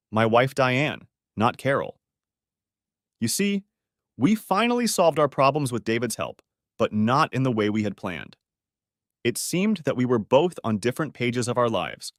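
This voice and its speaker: A man's voice: medium pitch, a bit bright, dry recording, studio quality. A man reading a scripted first-person story as its narrator.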